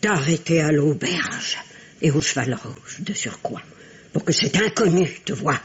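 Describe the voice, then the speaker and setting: A haughty old French matriarch in a radio drama. Her voice is husky and rough-edged, and she speaks in an imperious, theatrical way, cutting and domineering. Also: deep voice, expressive, measured pace, highs cut off, heavily acted. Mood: scornful. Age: older.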